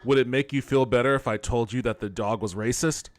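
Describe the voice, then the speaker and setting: A man with a mid-pitched voice improvises casual commentary as he plays a game. He talks in a provocative, mock-serious way and is happy to swear. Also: steady tone, fairly quick, noisy background. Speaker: streamer playing an AI game demo.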